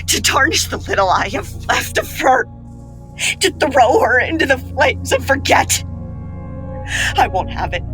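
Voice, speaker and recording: An actress as a bereaved Victorian mother in a radio play, defiant and anguished. Her high voice swings widely and moves slowly, with music behind it. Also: very animated, fairly bright tone, full character voice. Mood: anguished refusal. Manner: anguished, emotionally theatrical delivery. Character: grief-stricken Victorian mother, defiant, clinging to her dead daughter. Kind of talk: dramatic audio drama acting.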